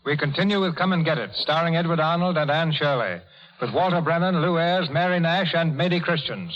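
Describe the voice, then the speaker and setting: A man's voice: fairly high pitch, brisk. A man hosting and narrating a vintage radio drama in a formal, scripted style. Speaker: host and narrator. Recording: muffled vintage radio, loud music behind.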